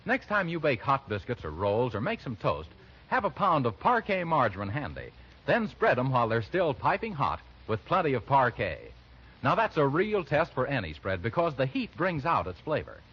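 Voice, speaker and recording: A man's voice: medium pitch, huge pitch swings, moderate pace, husky. A man announcing in 1940s radio commercial style, emphatic and persuasive. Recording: muffled 1940s radio broadcast.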